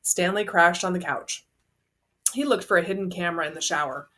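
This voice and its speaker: A woman's voice: on the low side, a little fast, fairly bright tone. A woman narrating a children's novel, reading it aloud as a storyteller.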